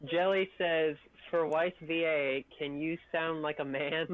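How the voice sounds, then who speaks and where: A man's voice: a little high, steady tone, unhurried. A man co-hosting an online stream in casual chat, heard over a voice call. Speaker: stream co-host reading viewer questions. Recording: dull lo-fi voice call.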